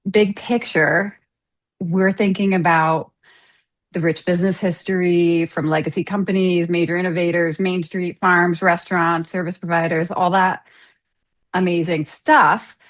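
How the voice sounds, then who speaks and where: A woman speaks as an interviewer with a slightly low voice. She is conversational, framing her questions and summing up as she goes. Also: fairly even intonation, a little slow.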